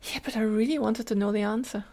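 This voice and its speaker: A woman's voice: fairly high pitch, fairly even intonation, fast, mellow. An older woman with a Scottish accent, telling personal stories in an earnest, detailed way as an interview guest. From Scotland. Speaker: podcast guest, teacher and lecturer.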